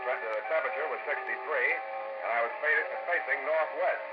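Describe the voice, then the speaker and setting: An actor playing a wry, unflappable private detective in an old radio drama, with clipped, deadpan delivery. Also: male voice, mid-range voice, close to an everyday voice. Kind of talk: 1940s radio detective acting with narration. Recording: very noisy, muffled old radio recording.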